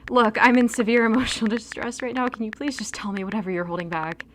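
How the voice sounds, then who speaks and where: A woman's voice: fairly high pitch, steady tone, fast, slight background noise, natural voice. A young woman playing an anxious, wounded girlfriend in an audio drama. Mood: hurt, distressed. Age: young adult.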